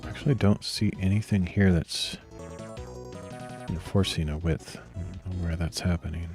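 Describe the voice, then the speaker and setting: A man with a very deep, grainy voice talks himself through a coding session in a soft, meandering murmur. He clears his throat often, and faint music plays behind him. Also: steady tone, moderate pace. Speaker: streamer coding live. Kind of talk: casual thinking aloud while coding.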